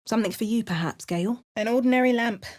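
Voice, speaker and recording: A woman's voice: fairly high pitch, fast talker, close to an everyday voice. A woman with an English accent voicing a hardened, wry female adventurer in a video game, making dry remarks and chanting spells. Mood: curious. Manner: chants spell words in a lively, sing-song way. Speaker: player character.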